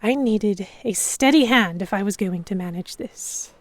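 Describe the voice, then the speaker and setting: A woman narrating in first person as a composed captive in a historical pirate audio drama, with measured, dramatic storytelling. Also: mid-range voice, no echo, lightly acted. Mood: measured, tense. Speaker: narrator and lead actor.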